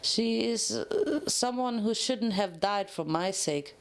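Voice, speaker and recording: Reluctant, curt female pony convict read by a woman, gruff rebel carrying grief, for a dramatic story. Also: mid-range voice, lively intonation, slow pace. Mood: guarded. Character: gruff, guarded, grieving mare prisoner, a rebel. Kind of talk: dramatic fan fiction reading.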